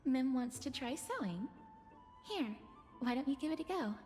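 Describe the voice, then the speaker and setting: Gentle, higher-voiced young woman. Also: animated, moderate pace, faint music behind. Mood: warm, thoughtful. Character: soft-spoken, kind, thoughtful young woman. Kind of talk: anime game voice acting.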